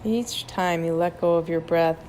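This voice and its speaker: A woman narrating a guided meditation in a gentle, measured, instructional manner. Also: lowish voice, fairly even intonation, slight background noise.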